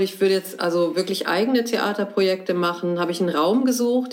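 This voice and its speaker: Calm middle-aged woman recounting her story. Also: lowish voice. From Hamburg, Germany. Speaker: theatre director and guest. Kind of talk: casual interview. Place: her home.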